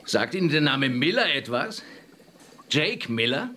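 A man's voice: mid-range voice, big pitch swings, unhurried, bright, forceful tone, slight background noise. An actor playing an arrogant male chemist in a radio drama, snide and defensive. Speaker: scientist.

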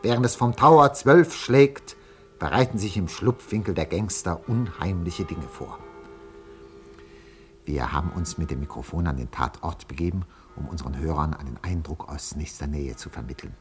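An actor playing an unctuous, sensation-hungry radio reporter in a 1950s German radio play, theatrical in delivery. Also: male voice, a bass voice. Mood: breathless, sensational. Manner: theatrical, swinging from declaiming to hushed confiding.

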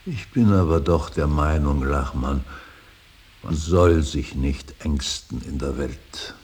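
An actor playing an older, grieving father in a radio drama, brooding and solemn, with halting, weighty declamation that often sinks into breathy whispers. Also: rumbling low, darkish tone, exaggerated voice. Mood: hushed, musing. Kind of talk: German radio drama acting, solemn monologue. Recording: hissy, faintly noisy radio recording.

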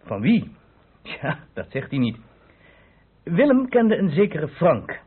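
Husky, animated police inspector on tinny radio. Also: male voice, fairly high pitch, slow pace, heavily acted. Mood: genial, explanatory. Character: brisk, self-assured male police inspector explaining a solved case. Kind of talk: radio play acting. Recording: tinny, narrow-band old radio recording.

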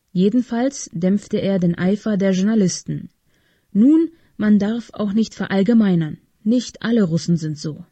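A woman's voice: mid-range voice, mellow, husky, no room sound. A woman reading a scripted political commentary as a radio presenter.

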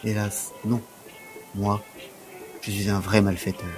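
An actor playing a formal, resigned gentleman convict in an audio drama. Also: lowish voice, level tone, slow, music in the background.

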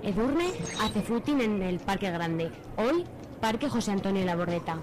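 A woman's voice: medium pitch, animated, moderate pace, background noise. A woman with an accent from Spain narrates a scripted radio drama in a mock-solemn storytelling manner. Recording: light music bed.

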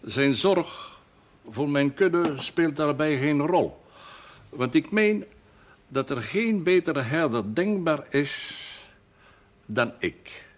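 A pompous older parish priest in a TV drama. His intonation swings widely as he delivers ornate, deliberate sentences with great self-satisfaction. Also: fairly high pitch, expressive. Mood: pompous, stately. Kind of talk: television drama acting.

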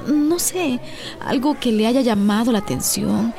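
A woman's voice: fairly high pitch, lively intonation, measured pace, music in the background. A woman playing an exhausted, worried young wife in a radio drama, subdued and searching. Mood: weary, worried.

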